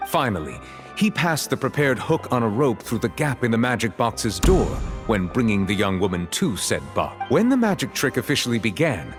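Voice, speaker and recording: A man's voice: mid-range voice, very animated, music in the background, natural voice. A man as a grave, commanding chief justice. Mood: solemn recounting. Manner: solemn, formal, measured. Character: grave, formal male chief justice presiding over a trial. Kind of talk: video game voice acting.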